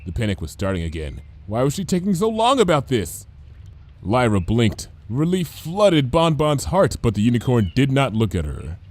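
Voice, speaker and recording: A man narrates a piece of fiction at a middling male pitch. His storybook delivery is lively, moving expressively up and down. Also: moderate pace, dry recording. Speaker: fan fiction reader, narrator.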